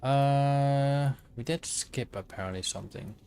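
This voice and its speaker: A man's voice: medium pitch, unhurried, vocal fry, warm tone, slight background noise. A Dutch man streaming a game and chatting with viewers, thinking aloud with many fillers and restarts. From Netherlands. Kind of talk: casual livestream commentary while gaming.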